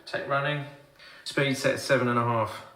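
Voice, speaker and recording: A man's voice: medium pitch, steady tone, moderate pace, very noisy, lightly acted. Radio drama part, man: matter-of-fact sound engineer, middle register, understated wry delivery. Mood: businesslike. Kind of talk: naturalistic radio drama acting.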